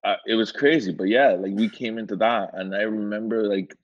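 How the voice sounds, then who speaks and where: A man from Iran, a guest in a casual interview, telling his story in a relaxed, rambling way with lots of filler words. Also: fairly low pitch, a little fast. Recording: dull lo-fi sound.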